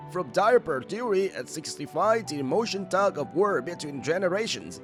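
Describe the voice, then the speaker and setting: A man hosting with scripted, jokey recap commentary addressed to viewers. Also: mid-range voice. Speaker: video host.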